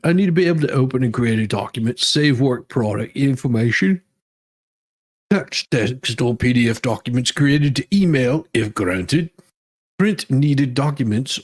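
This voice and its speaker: A man giving a mock-dramatic reading as a demanding woman inmate pleading her own case, with halting, emphatic delivery. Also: mid-range voice, a little mellow, close to an everyday voice. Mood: earnest, demanding.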